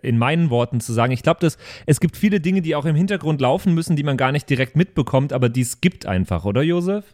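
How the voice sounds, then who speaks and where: A man's voice: a little high, lively intonation, fast, hardly any pauses, darkish tone. A man co-hosting a podcast in casual banter, effusive and teasing with his friends. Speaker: podcast co-host and role-player.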